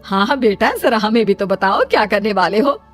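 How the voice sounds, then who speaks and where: Older motherly mother-in-law, cartoon female performer: practical, inquisitive, medium pitch. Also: fairly quick, faint music behind, natural voice. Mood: curious.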